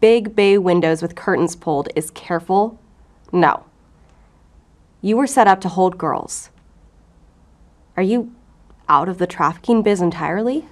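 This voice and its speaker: A woman's voice: on the low side, a little slow, long pauses, lightly acted. A woman acting in an audio drama as a hard-edged FBI special agent, blunt, probing and short-tempered. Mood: probing, cool.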